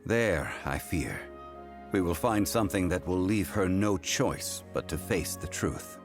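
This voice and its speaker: A man voicing a calm, formal, ancient gentleman who speaks in measured, deliberate sentences in a video game. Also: sits low, music in the background. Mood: stern. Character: ancient, composed male consultant of contracts.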